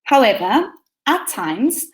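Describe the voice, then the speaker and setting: A woman's voice: a little high, very animated, slow, low-quality recording. Upbeat South African woman presenting. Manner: upbeat, eager, with frequent ums. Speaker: teacher presenting to educators. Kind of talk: conference presentation.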